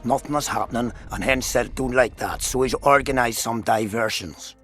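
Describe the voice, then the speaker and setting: A man's voice: mid-range voice, normal speed, a bit bright, natural voice. A man playing a bitter, fatalistic middle-aged soldier and father in a fantasy video game. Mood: heated, grim. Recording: music behind the voice.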